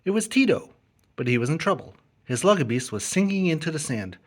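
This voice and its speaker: Animated man reading a storybook. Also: fairly high pitch, brisk. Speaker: read-along narrator. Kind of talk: expressive children's storybook narration. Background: music and sound effects.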